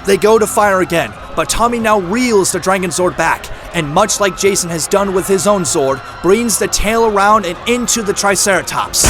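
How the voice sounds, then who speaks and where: Narrator, male: slightly high voice, dramatic action storytelling over very loud music and effects.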